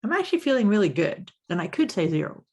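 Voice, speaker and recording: A woman with a soft Canadian-Scottish accent co-hosting a podcast, calm and soft-spoken in casual chat. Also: mid-range voice, fast talker, husky. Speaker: podcast co-host.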